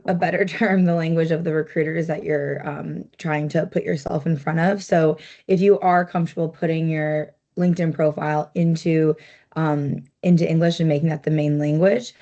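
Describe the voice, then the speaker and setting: A woman presenting an online workshop on a video call, in a relaxed, chatty manner full of "like" and "um". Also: low-pitched, highs cut off. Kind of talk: casual instructional talk.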